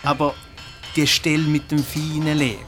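A man's voice: a little high, little pitch movement, moderate pace, a bit bright, soft background music, lightly acted. A man with a Swiss accent playing a good-natured, thoughtful monk craftsman who thinks aloud in a children's radio play. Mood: pleased, friendly.

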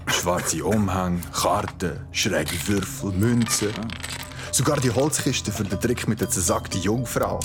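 A man playing a curious, awkward young circus newcomer in a radio play, casual and hesitant, with a Swiss German accent. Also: low-pitched. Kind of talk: radio play narration and dialogue.

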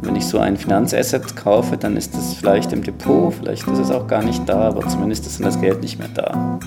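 A man's voice: fairly low pitch, fairly even intonation, a little fast, creaky. A man explaining his thoughts in a reflective discussion. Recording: prominent plucked guitar music bed. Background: plucked guitar music.

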